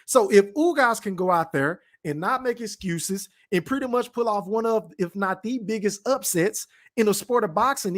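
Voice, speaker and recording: A high-voiced man with a Texan accent holds forth on a casual livestream. He is blunt and emphatic, swears freely, and keeps addressing the chat. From Texas, Dallas-Fort Worth. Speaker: podcast host reading live chat.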